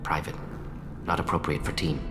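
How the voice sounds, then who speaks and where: A man's voice: a bass voice, little pitch movement, normal speed. An actor playing a brilliant, conflicted and intense male alien scientist in a video game, speaking in clipped, telegraphic sentences. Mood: guarded. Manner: clipped telegraphic sentences without articles or pronouns. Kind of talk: video game character acting. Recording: long hall reverb, game music behind.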